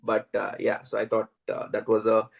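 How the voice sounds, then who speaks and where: A man co-hosting a podcast in casual chat, setting up his points in a measured, wry way. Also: on the low side, monotone, gravelly, husky, muffled recording. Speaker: podcast co-host.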